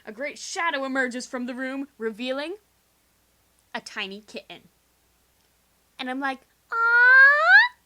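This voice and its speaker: A young woman doing a theatrical, sarcastic comic dramatic reading in slangy language, recorded quietly on a homemade game microphone rig. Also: sits high, very animated, slow pace, long pauses, heavy background noise. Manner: theatrical, sarcastic, slangy, acts out quoted dialogue. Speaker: storyteller. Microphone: video game microphone with improvised pop filter.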